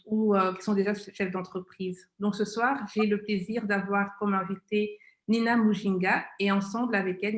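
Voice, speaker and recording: A woman hosting a live interview show, speaking in halting, repetitive phrases. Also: medium pitch. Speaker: webmagazine founder and show host. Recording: roomy, echoing live-stream sound.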